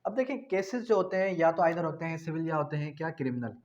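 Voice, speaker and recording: A man giving an explanatory law lecture, repeating key phrases slowly and asking rhetorical questions in a didactic way. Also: on the high side, animated, darkish tone. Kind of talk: explanatory law lecture, mixing in English terms. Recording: dull, muffled lo-fi recording.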